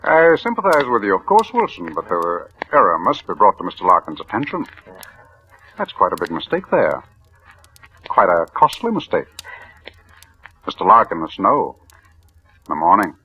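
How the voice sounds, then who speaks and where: A man's voice: sits low, huge pitch swings, normal speed, long pauses, sharp tone, full character voice. An actor playing a pompous male office boss who speaks in a cool, patronizing manner in an old radio drama. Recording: dull, lo-fi 1940s radio.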